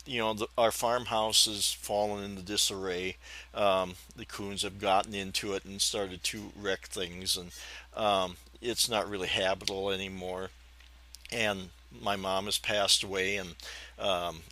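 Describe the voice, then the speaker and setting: American male podcast storyteller, around fifty, lowish bright voice, slight whistly lisp, folksy and hesitant. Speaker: podcast host. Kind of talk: reminiscing personal storytelling.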